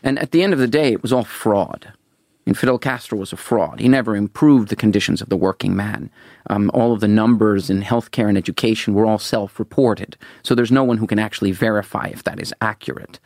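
Interview guest: middle-aged man, gravelly, dry close sound, earnest, stumbles on restarts. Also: fairly low pitch, a little fast, a little mellow, dry recording. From Venezuela. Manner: earnest, repeats words when starting sentences. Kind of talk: political interview.

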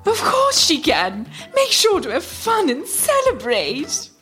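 A woman's voice: extremely high pitch, wildly swooping pitch, takes it slowly, heavily acted. A woman playing an effusive, loving queen mother, theatrically, in a folktale radio play. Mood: warm. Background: music.

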